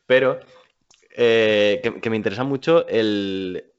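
Hombre de voz grave y curiosa. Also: male voice, fairly low pitch, smooth. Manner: curious, asks questions, halting. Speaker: podcast co-host. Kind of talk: casual chat. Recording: muffled lo-fi audio.

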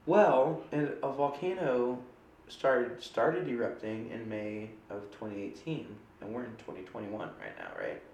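A woman's voice: very deep voice, big pitch swings, measured pace, darkish tone, a little hiss or hum. Stuffy-nosed chatty woman, roomy sound. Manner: chatty, rambling, playful. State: stuffy from a cold. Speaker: podcast co-host. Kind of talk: casual chat.

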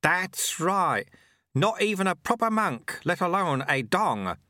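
A little old monk, played by a male narrator, cheerful and modest. His voice sits high and swings freely up and down, with a bright edge. He teases gently in a breezy, wry storybook manner. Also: sing-song, slow pace, no room sound, studio quality, heavily acted.

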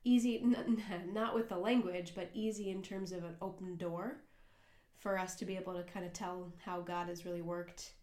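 A woman's voice: on the low side, fairly even intonation, moderate pace, darkish tone. Earnest American woman in an echoey room. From United States. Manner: earnest, rambling with many fillers and restarts. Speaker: podcast co-host and cafe worker. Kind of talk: casual conversational podcast.

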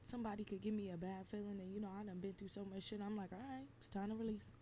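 Brief: twenties woman, dark mellow tone, slight rasp, blunt and emphatic, low faint hiss behind. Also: steady tone, fast talker. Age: 20s. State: slightly raspy from lack of sleep. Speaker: podcast host. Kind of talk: casual venting monologue.

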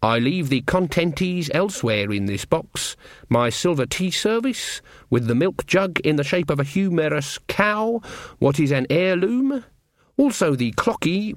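A man's voice: on the high side, no room sound, clean studio sound, pitched above the performer's own voice. A man voicing a proud, terse old woman witch for a storybook, blunt and morose, with rustic grammar. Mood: dry, deliberate.

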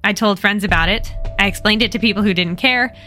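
American woman story host, bright, animated, wry. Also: medium pitch, a little fast, faint music behind. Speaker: podcast host and narrator. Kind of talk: storytelling, retelling a manga.

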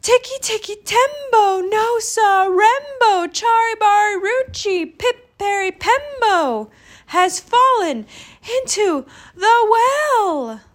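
A woman's voice: sits high, animated, a little slow, voice pushed up. A woman voicing a small, breathless, frantic boy in a children's story, calling out and pleading. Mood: panicked, breathless. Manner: shouting, gasping, pleading.

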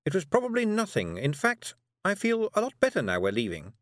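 A man's voice: high-pitched, wildly swooping pitch, a little fast, fairly bright tone, no room sound, clean studio sound, voice pushed up, full character voice. A man voicing a fussy, nervous woman witch in an audiobook, talking in a prim, clipped way. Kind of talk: audiobook character voice.

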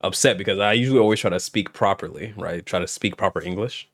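A man's voice: low voice, fast. A man hosting a podcast, chatting casually with a guest and laughing often. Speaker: podcast host.

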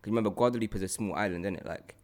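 Young man, low-pitched voice, casual podcast chat, rambling slangy storytelling. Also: racing, a little mellow. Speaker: podcast co-host.

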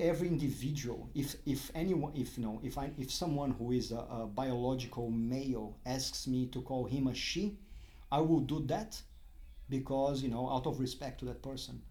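Halting Brazilian-accented man. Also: medium pitch, warm tone. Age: middle-aged. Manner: halting, many restarts and fillers. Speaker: interview guest. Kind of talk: casual interview talk.

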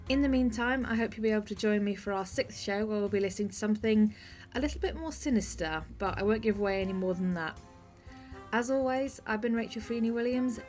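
A woman's voice: medium pitch, darkish tone. A woman, speaking conversationally as the host of a podcast.

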